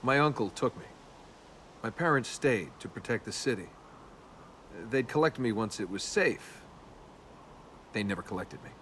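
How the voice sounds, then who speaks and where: A roguish young tomb robber from a video game, played by a male actor. He talks casually and wryly, guarded and cynical, in a medium-pitched voice. Game ambience runs noticeably behind him. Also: expressive, moderate pace, pauses often, fairly bright tone, slight background noise, natural voice. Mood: subdued. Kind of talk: naturalistic video game dialogue.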